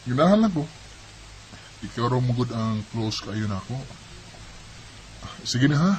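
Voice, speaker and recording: A man's voice: mid-range voice, expressive, a little slow, a little hiss or hum, close to an everyday voice, poor audio. A man playing an eager, smitten young student in a Bisaya Filipino radio romance, talking casually and coaxingly. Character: eager, smitten young male student courting his friend.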